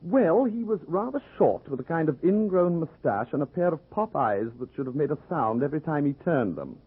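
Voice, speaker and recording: Give this one to an urbane, unflappable gentleman of old radio mystery. He is drily witty and mock-polite, with a slightly rough voice that swings freely in pitch. It comes through a muffled vintage radio recording. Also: big pitch swings, brisk. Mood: dryly amused. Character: suave, wry, unflappable gentleman bachelor adventurer. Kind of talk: old-time radio comedy-mystery acting.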